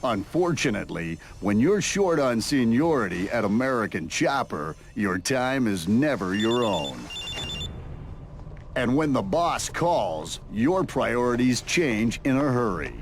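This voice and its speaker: An actor playing a narrator of a reality show in a video game, delivering scripted, dramatic story links. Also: male voice, mid-range voice, sing-song, measured pace. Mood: teasing drama.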